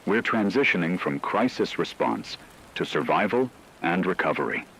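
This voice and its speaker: A man playing a grave radio newsreader in an apocalypse drama, reading a scripted bulletin in a measured, formal, factual way. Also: low voice, expressive, fairly bright tone, a little hiss or hum, rough recording. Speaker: news anchor.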